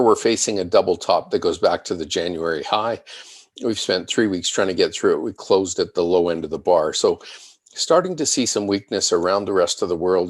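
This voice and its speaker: A man giving unscripted analyst commentary on charts, measured and explanatory, thinking aloud as he presents. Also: deep voice, no echo, clean studio sound. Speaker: financial market analyst presenting.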